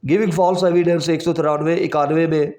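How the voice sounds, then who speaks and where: A man's voice: fairly high pitch, steady tone, a little mellow. A man with a Pakistani accent giving an explanatory law lecture in a didactic way, repeating points, posing rhetorical questions and switching into English for quotations. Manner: switches between Urdu and slow English quotations. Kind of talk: explanatory exam-prep lecture. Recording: echoey, reverberant room.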